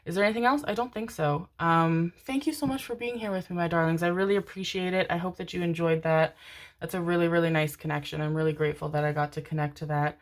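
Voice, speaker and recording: A woman's voice: fairly low pitch, steady tone, fast. A young woman doing a casual tarot reading to camera, chatty and rambling, full of fillers and affectionate pet names. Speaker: tarot reader.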